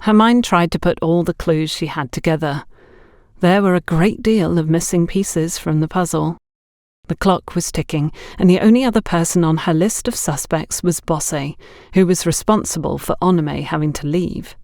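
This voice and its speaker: Low-voiced woman narrating a story. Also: normal speed, darkish tone, no echo, studio quality. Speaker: audiobook narrator.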